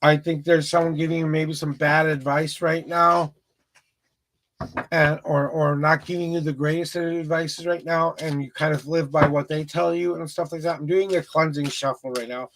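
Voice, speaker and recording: A man's voice: on the high side, level tone, moderate pace, fairly bright tone, slight background noise, rough recording. A man with a rural Minnesota Midwest accent, rambling through a casual livestream tarot reading with frequent restarts. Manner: rambling, repeats words and restarts often. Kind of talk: casual livestream chat with viewers.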